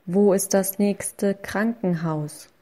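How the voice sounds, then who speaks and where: A woman reading short phrases slowly and clearly, saying each one twice for learners. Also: mid-range voice, fairly even intonation, warm tone. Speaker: language lesson voice. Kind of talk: slow repeated phrase reading.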